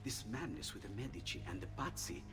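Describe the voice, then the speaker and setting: A man's voice: lowish voice, brisk. A man voicing an eager, kindly inventor who helps his friend, in video game dialogue. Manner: warm, helpful, lively speech. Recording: reverberant game audio with music.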